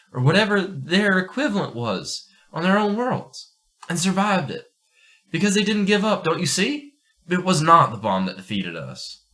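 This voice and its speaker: A man's voice: fairly high pitch, sharp tone, exaggerated voice. A man playing an earnest, strict astronomer in an amateur radio play, speaking formally and emphatically. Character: earnest, agitated astronomer pleading for change, fatherly and strict.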